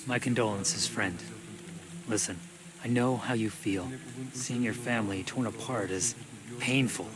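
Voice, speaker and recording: A man voicing a world-weary, fatalistic survivor in a video game, grim and measured. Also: lowish voice, a crowd in the background, close to an everyday voice. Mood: consoling. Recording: rain and ambient noise.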